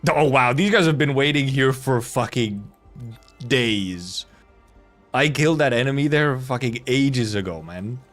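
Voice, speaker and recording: A man's voice: medium pitch, expressive, unhurried, fairly bright tone, soft background music. A man giving casual live gameplay commentary as a streamer, rambling and muttering to himself. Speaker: game streamer.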